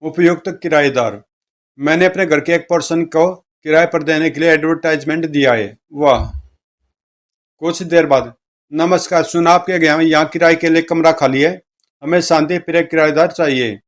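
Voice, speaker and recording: A man's voice: on the high side, moderate pace. A man reading comic strips aloud as a story narrator, speaking the dialogue of each character. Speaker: comic book reader, narrator.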